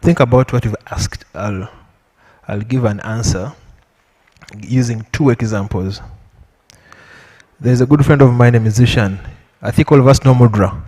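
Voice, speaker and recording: A man with a Ugandan accent giving an impromptu answer as a panelist, measured and emphatic with repetition, his voice a little weak from illness. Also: mid-range voice, a little mellow. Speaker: panelist at a public lecture. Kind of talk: impromptu public speech to an audience. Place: lecture hall with an audience. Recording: echoey hall with long reverb.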